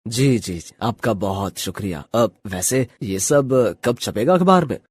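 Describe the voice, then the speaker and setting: A man's voice: on the low side, expressive, unhurried, husky, no room sound, clean studio sound. A man playing an honest, plain-spoken middle-aged hotel owner in a cartoon story. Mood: polite, eager.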